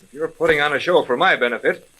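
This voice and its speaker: A man's voice: a little high, fast, pushed and bright. An actor playing a cocky, eager young man with a brash, wisecracking manner, in 1930s radio drama style. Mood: skeptical, defiant. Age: young adult.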